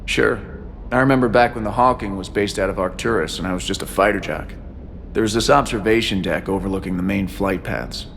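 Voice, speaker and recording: An actor playing a dedicated, quietly wistful shuttle pilot in a video game, measured and reflective. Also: male voice, lowish voice, creaky, slight background noise. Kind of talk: video game dialogue acting.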